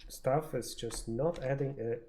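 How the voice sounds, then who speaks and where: A man's voice: medium pitch, measured pace, dark tone, slight background noise. A man doing casual live game commentary as a streamer, muttering half to himself at a desk microphone.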